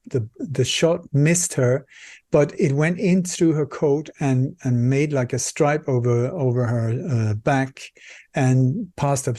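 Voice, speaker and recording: Mellow-voiced man from Sweden telling a story. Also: mid-range voice, no room sound, clean studio sound. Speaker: interview guest, researcher and author. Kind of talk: conversational storytelling in an interview.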